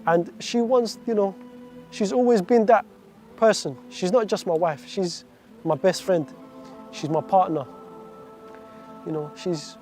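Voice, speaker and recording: Young man, 20s, high voice, warm dark tone, earnest faith testimony. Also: a little slow, pauses often, soft background music. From Iraq. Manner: earnest, heartfelt, peppered with religious phrases.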